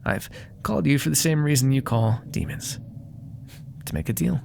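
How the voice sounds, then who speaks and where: A man's voice: medium pitch, normal speed, vocal fry, a little mellow, slight background noise. A man playing a proud, menacing demon dealmaker in an ASMR roleplay, theatrical and condescending, coaxing with whispered asides. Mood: smug, businesslike.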